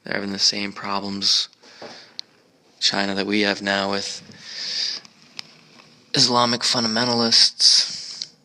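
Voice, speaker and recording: A man's voice: on the low side, completely flat delivery, slow, pauses often, bright, forceful tone, poor audio. An American man chatting casually as a travel vlogger in a museum park, rambling with many fillers and restarts.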